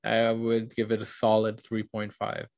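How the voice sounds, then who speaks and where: A man co-hosting a book podcast, chatting casually and defending his opinions in an animated, playful way. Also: lowish voice, a little slow, creaky.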